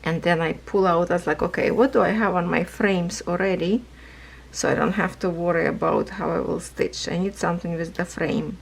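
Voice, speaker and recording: A woman chatting casually about her cross-stitch projects, rambling, laughing often, with a hoarse voice as she is losing it. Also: lowish voice, fairly even intonation, fairly quick, rough recording. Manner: rambling, non-native phrasing, laughs often. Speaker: craft vlogger. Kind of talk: casual chat while stitching.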